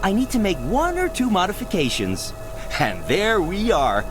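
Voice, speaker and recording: A man's voice: high voice, wildly swooping pitch, moderate pace, music in the background. A man voicing a small, practical, worried inventor creature in a cartoon video game, earnest and matter-of-fact.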